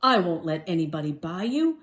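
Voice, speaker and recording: A woman's voice: on the low side, big pitch swings, brisk. A woman voicing an exasperated, protective storybook father in an emphatic, scolding way. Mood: firm.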